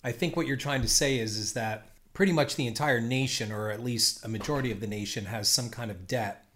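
Measured, explaining man podcast co-host. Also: medium pitch, a bit bright. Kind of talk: casual podcast chat.

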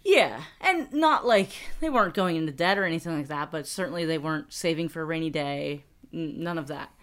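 A woman's voice: low voice, animated, brisk, fairly bright tone. A middle-aged woman co-hosting a podcast, chatting casually, laughing often and restarting her sentences. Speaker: podcast co-host. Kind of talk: casual chat.

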